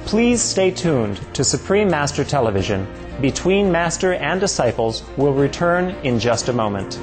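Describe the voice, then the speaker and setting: A man narrating a documentary, in a measured, scripted style. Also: fairly high pitch, big pitch swings, music in the background.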